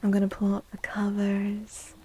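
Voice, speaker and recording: A woman speaking softly and gently in a breathy, soothing ASMR style, often slipping into a whisper as she chats with stream viewers. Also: mid-range voice, monotone, normal speed. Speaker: livestreamer hosting an ASMR stream. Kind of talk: soft-spoken ASMR, chatting with viewers. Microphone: close microphone.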